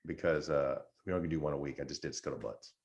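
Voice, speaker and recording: Low-voiced man in his forties, casual. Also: quick pace, a little mellow, slightly rough. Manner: informal, says dude and man often. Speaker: podcast co-host, active-duty service member. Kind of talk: casual chat.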